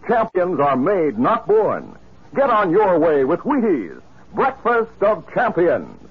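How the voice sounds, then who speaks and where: A man's voice: sits high, wildly swooping pitch, slow pace, breathy edge, exaggerated voice. A man playing a grand western radio announcer, declamatory and emphatic, in 1950s style. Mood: upbeat pitching. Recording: tinny, noisy 1950s radio recording.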